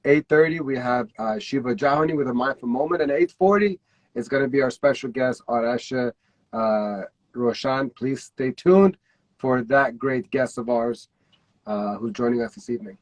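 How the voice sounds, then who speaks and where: A man hosting a live-streamed talk show, upbeat and encouraging, warmly thanking his guests and moving the show along. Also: mid-range voice, moderate pace, no room sound. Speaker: host.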